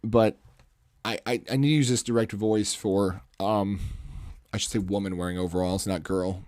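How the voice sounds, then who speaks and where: Male art streamer, lowish commanding salesman voice, casual rambling delivery that self-corrects, dry close-mic sound. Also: steady tone, moderate pace, a little mellow, no echo. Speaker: art livestream host. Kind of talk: casual livestream chat while sketching. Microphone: microphone close to the face.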